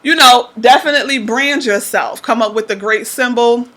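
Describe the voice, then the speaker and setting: Bright-voiced woman hosting radio. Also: a little high, moderate pace. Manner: blunt, streetwise, encouraging pep talk. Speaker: radio show host. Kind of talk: casual radio interview, motivational advice.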